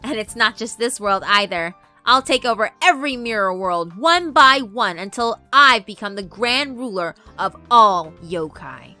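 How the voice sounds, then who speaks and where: A woman's voice: mid-range voice, expressive, a little slow, hardly any pauses. A woman voicing a short-tempered, gloating tyrant spirit girl in a boastful, taunting visual novel performance. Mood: smug, boastful. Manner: boastful, taunting, shouting with a chanted cackle. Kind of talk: dramatic visual novel voice acting. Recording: music behind the voice.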